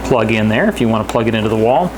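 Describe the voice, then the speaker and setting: A man giving a casual, unscripted product demonstration indoors, speaking in a halting, hesitant, plain-spoken way. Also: on the low side, animated, background noise. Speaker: product reviewer. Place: indoors in a house.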